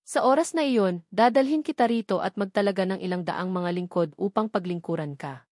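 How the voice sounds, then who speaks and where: A woman's voice: mid-range voice, a little fast, darkish tone, dry recording, studio quality, natural voice. A woman reading the audiobook part of a boastful husband who sweet-talks his wife in formal phrases. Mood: boastful, affectionate. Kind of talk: audiobook dialogue.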